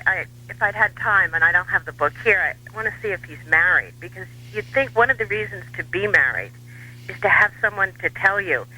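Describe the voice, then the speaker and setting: An American man giving political commentary as a radio guest, wry and dryly humorous, with measured hesitations. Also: high voice, animated, sharp tone, slightly rough, low-quality recording. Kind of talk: talk radio interview.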